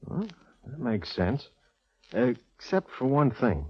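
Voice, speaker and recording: An American actor playing a puzzled, plodding police inspector in 1940s radio drama, asking questions slowly. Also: male voice, medium pitch, big pitch swings, breathy edge, dry recording, full character voice. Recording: dull vintage radio recording.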